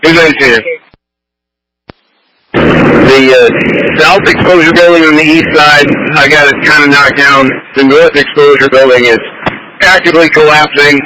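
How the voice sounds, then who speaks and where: A man's voice: on the high side, fairly even intonation, a little slow. A man working as a firefighter, giving terse operational messages over a two-way radio. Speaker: firefighter on fire department radio. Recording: noisy fire radio scanner feed.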